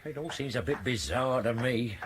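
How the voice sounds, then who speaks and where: A man playing a respectful, steady police sergeant in a radio drama, with a British accent and a matter-of-fact manner. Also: medium pitch, moderate pace, noisy background, lightly acted. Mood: chatty, doubtful.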